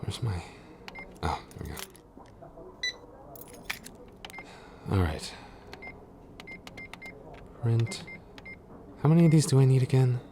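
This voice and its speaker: A man playing a shy, easily flustered tsundere boyfriend and office coworker in an intimate audio roleplay, with a halting, stammering delivery. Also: medium pitch, very animated, gravelly, background noise. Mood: casual, preoccupied.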